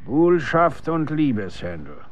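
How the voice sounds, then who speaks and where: A middle-aged actor playing a stern, worldly executioner and father in a historical radio play, measured and admonishing in archaic speech. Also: a little high, sing-song. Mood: probing, shrewd. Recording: dull sound, faint noise.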